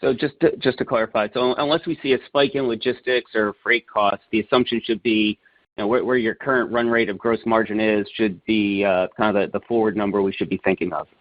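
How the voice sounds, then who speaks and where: A man's voice: fairly low pitch, steady tone, fairly quick, no room sound. A man speaking as a financial analyst, asking follow-up questions informally and a little hesitantly on a conference call. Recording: thin, tinny phone line.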